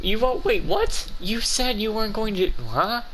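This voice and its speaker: A man's voice: high-pitched, normal speed, fairly bright tone, slight background noise. A man giving casual, rambling, offhand commentary as he plays through a video game. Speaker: gaming video host. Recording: game music behind the voice.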